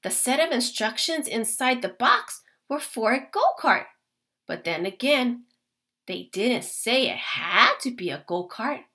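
Slow, animated woman reading a picture book. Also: a little high. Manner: slow, expressive storytelling. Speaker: teacher. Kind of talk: children's read-aloud.

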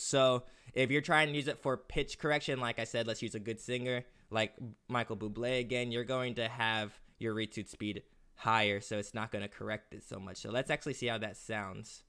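Chatty young man explaining software. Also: mid-range voice, clear, resonant tone. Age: young adult. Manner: chatty, loose, with many fillers. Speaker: music production tutorial host. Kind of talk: casual screen-recorded tutorial. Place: small room indoors.

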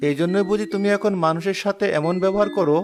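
A kind, helpful cartoon village boy voiced by a man with a fairly high voice. He talks gently and patiently, with music in the background. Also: quick pace, close to an everyday voice. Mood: kind, reassuring. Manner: gentle, reassuring. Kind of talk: animated cartoon dialogue.

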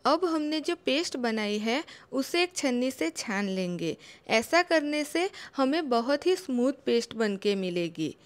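A young woman talks a listener through a home recipe step by step, calm and instructive. Her voice sits a little high and stays steady and homely throughout. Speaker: home cooking channel host.

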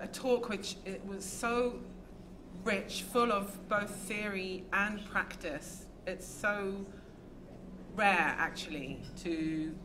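Hesitant woman giving panel remarks. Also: fairly low pitch. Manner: hesitant, many ums, warm thanks. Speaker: discussant. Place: lecture theatre stage. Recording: lecture hall audio with background noise.

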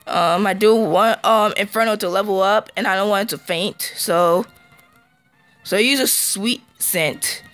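A man with a hoarse, fading voice giving casual let's-play commentary, halting with ums and throat-clearing, recorded on a phone. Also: high-pitched, fairly bright tone, soft background music. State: hoarse, losing his voice.

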